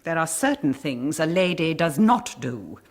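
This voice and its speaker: A woman's voice: low-pitched, very animated, a little slow, exaggerated voice. A woman playing a prim, haughty Victorian governess in a radio drama, with an upper-class English accent and formal, reproving delivery. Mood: stern. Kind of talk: 1990s radio drama acting.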